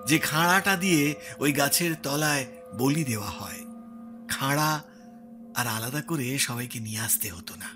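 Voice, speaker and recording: A man's voice: a little high, pushed and bright. A man playing a troubled, respectful village headman explaining grim matters in an audio story. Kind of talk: Bengali audio story acting.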